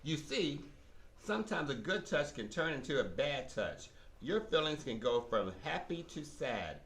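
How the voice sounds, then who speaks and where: A man with a mid-range, bright voice narrates for children. He takes his time and swings his pitch widely, sounding instructive and emphatic, like scripted storytelling. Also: very animated, a little slow. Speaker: narrator of puppet show.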